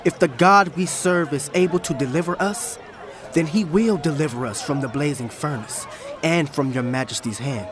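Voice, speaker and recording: A man's voice: fairly high pitch, crowd noise, lightly acted. A man acting a steadfast, devout Hebrew who firmly and respectfully defies a king in a dramatized audio Bible. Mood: resolute, defiant. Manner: firm, measured, respectful but defiant.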